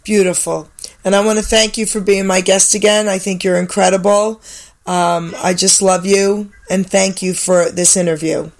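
A woman's voice: medium pitch, steady tone, normal speed. A woman hosting a radio show, speaking in a gushing, affectionate way to her guests. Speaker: radio host. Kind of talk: casual radio chat.

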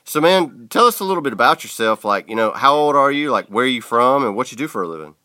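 A man's voice: medium pitch, quick pace, no echo, clean studio sound. A man hosting a podcast, chatting casually with lots of fillers. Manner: relaxed, filler-heavy Southern talk. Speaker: podcast host. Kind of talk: casual interview chat.

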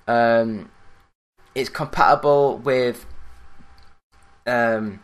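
A man with a Yorkshire accent hosting a solo gaming news podcast, talking in a fairly monotone, plain-spoken way. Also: medium pitch, very slow, smooth. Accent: Yorkshire English. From Yorkshire, England. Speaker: podcast host.

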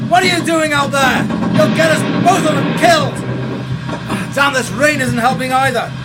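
Man acting a shouting, high-strained army sergeant. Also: steady tone, moderate pace. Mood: urgent, barking. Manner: shouting, commanding. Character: gruff, commanding army sergeant in wartime trenches. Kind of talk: war radio play acting. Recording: loud battlefield sound effects and music.

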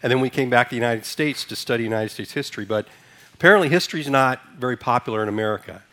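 A man's voice: medium pitch, very fast, fairly bright tone. Man preaching a conversational sermon. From Sudan. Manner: conversational, asks the congregation questions; leads a responsive reading line by line. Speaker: pastor.

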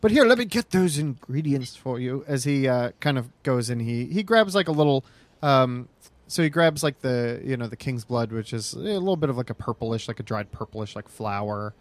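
A man running a tabletop game as game master, improvising narration in a halting, informal way. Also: medium pitch, expressive, no room sound.

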